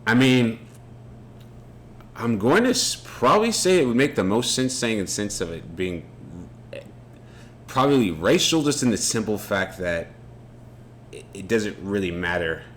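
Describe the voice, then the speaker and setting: A man co-hosting a podcast, talking in a relaxed, reflective way with some halting restarts. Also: lowish voice. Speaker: podcast co-host. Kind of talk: casual unscripted conversation. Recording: faint background noise.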